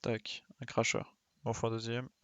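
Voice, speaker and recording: A man's voice: medium pitch, monotone, moderate pace, a bit bright, no echo. A man doing casual live game commentary, thinking aloud in informal slang and exclaiming as he plays. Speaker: game streamer.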